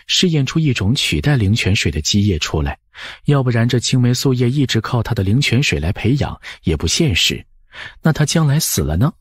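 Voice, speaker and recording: A man's voice: mid-range voice, husky, dry recording, studio quality. A man narrating an audiobook in a scripted storytelling style.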